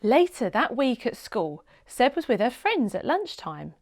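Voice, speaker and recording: A woman reading a children's storybook aloud as an expressive read-along narrator, with dramatic emphasis. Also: on the high side, measured pace.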